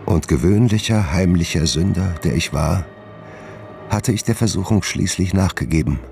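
A guilt-ridden gentleman doctor with an extremely low, creaky voice. He broods in formal, literary phrases, haunted by his dark side, in a radio drama. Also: moderate pace, soft background music. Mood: brooding, confessional. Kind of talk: German radio drama acting and first-person narration.

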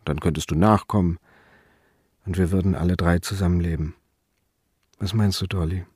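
A man's voice: low-pitched, steady tone, moderate pace, a little mellow, slightly rough, no room sound, clean studio sound. An actor playing a wistful, tender man on the run in a radio drama. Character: wistful, tender male fugitive courting a young woman.

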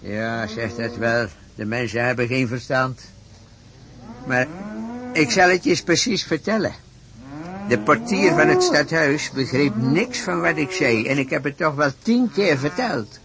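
A man's voice: medium pitch, lively intonation, a little slow, noisy background. An actor playing a stubborn, gullible rich old farmer in a radio play, blustering and stammering as he repeats himself. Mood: brooding.

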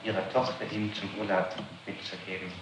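A man conducts a formal interrogation in a fairly low voice. He speaks haltingly yet persistently, in an official register. The old tape is echoey and clearly noisy. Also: little pitch movement. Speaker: prosecutor questioning a suspect. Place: room, recorded on tape during questioning.

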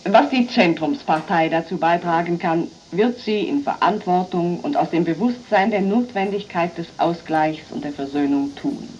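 An actress playing a centrist party leader who delivers a measured, formal speech in a historical radio play. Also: female voice, fairly low pitch, a little mellow, highs cut off, slight background noise, close to an everyday voice. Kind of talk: historical radio drama acting.

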